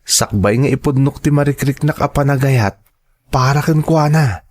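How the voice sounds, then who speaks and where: A man's voice: medium pitch, normal speed, darkish tone, close to an everyday voice. A man acting in a radio drama as a shy, earnest young man in love, with an Ilocano accent and a hesitant delivery.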